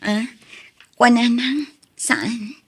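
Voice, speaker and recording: A woman's voice: fairly high pitch, fairly even intonation, extremely slow, a bit bright, breathy edge, exaggerated voice. A woman playing a devoted, heartbroken young woman with an Ilocano accent in an audio drama. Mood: earnest, subdued.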